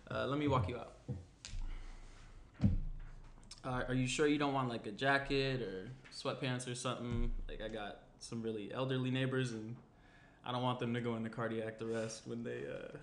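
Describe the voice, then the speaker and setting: A man's voice: mid-range voice, little pitch movement, brisk, lightly acted. A young man playing a laid-back, frank, teasing guy with casual, hesitant phrasing in a table read. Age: young adult. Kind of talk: naturalistic screenplay table read. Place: small indoor room at a table. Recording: roomy, faint background noise.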